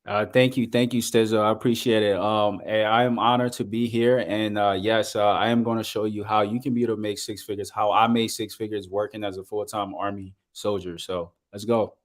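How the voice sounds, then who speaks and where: A man's voice: on the low side, flat delivery. Earnest man from Liberia on a video call. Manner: earnest, measured, with frequent fillers. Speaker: guest, business coach. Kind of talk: casual interview talk.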